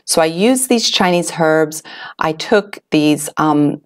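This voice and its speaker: A woman's voice: low voice, expressive, slow. A middle-aged woman from Switzerland hosting a webinar, telling a personal story in an earnest, confiding way with fillers and restarts. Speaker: webinar host. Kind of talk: personal story told to an online audience.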